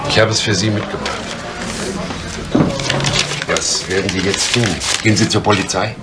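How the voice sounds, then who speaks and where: An actor playing an ingratiating, secretive informant in a 1970s radio play, measured and servile. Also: male voice, lowish voice, steady tone, lightly acted. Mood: ingratiating, guarded. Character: ingratiating, secretive male informant angling for favour. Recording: very noisy old radio play recording.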